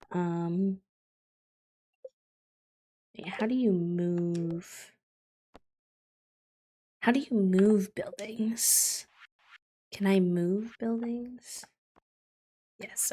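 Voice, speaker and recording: A woman streaming a game, chatting casually and thoughtfully with many fillers, her voice slightly croaky from just waking up. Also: mid-range voice, drawn-out words, pauses often, mellow. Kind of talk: casual livestream chat while playing.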